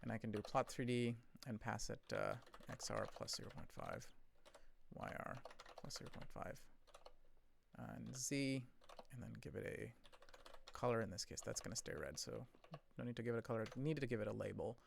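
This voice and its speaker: A man thinking aloud as he codes on a live stream, rambling and correcting himself, his voice a bit hoarse from talking for a long time. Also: mid-range voice, moderate pace, a little hiss or hum. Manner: rambling, self-correcting, chatty with viewers.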